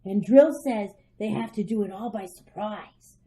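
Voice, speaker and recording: A woman playing a headstrong, impatient little girl in a radio drama, loud, definite and childishly eager. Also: medium pitch, a little slow, close to an everyday voice. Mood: eager confiding. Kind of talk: old-time radio drama acting.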